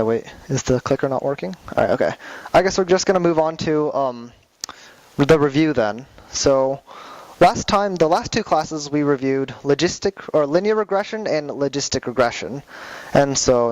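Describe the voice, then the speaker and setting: A man's voice: a little high, a little mellow, no echo, rough recording. A young man teaching a class, speaking with many fillers and restarts, recorded by a camera across a classroom. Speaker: class instructor. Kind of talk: classroom lecture. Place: classroom with projector.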